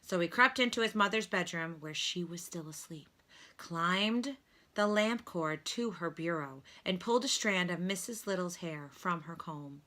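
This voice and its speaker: A woman reading a children's storybook aloud like a teacher, with deliberate, instructive delivery. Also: fairly low pitch, pushed and bright. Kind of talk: read-aloud storybook narration with lesson prompts.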